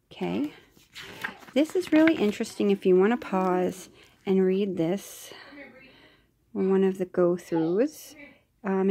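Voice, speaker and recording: Mother reading a storybook aloud. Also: medium pitch. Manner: gentle, with soft whispered asides.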